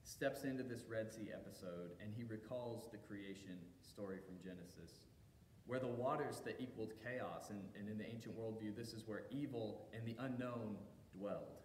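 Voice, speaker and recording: A man delivering a sermon as a pastor, telling the story in measured phrases with deliberate pauses and emphasis. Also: darkish tone. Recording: echoey hall reverb, faint low rumble.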